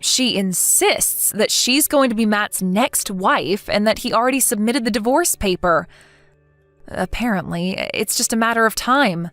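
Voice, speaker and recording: A woman playing a wronged mother in her forties in a dramatized story, earnest and aggrieved but measured. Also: medium pitch, a bit bright, no room sound, faint music behind, natural voice. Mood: worried, polite. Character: wronged mother over forty, indignant yet polite.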